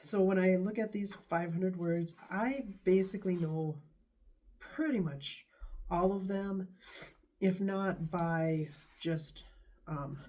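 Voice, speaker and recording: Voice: medium pitch, warm tone. A vlogger with a stuffy nose from a bad cold, talking casually to camera in a rambling, hesitant way. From United States. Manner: rambling, hesitant, many pauses.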